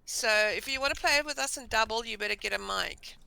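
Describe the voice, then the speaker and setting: A hoarse woman chatting casually with friends on a voice call, teasing and bossy. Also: fairly high pitch, a little fast, pushed and bright, poor audio. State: hoarse, voice deeper than usual. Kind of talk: casual chat.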